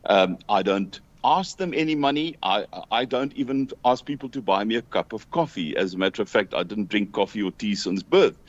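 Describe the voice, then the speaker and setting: A South African man talking earnestly as an interview guest, emphatic but halting in his delivery. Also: fairly low pitch, lively intonation. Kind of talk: earnest conversational talk. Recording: dull lo-fi call audio.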